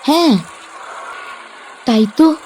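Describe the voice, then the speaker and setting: A woman's voice: sits high, slow pace, dark tone, a little hiss or hum, rough recording. An actress playing a cautious young woman on a speedboat with her fiancé, speaking in an anxious, pleading way. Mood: uneasy. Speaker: actress in audio drama. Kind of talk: audio drama acting.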